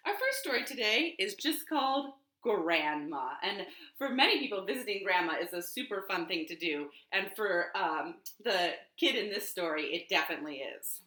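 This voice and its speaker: A woman hosting storytime for young children, speaking in an animated, clearly paced way as she shares rhymes and picture books from her living room. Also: medium pitch, moderate pace, hardly any pauses. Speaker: children's storytime host at a library. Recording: roomy, echoing home living room.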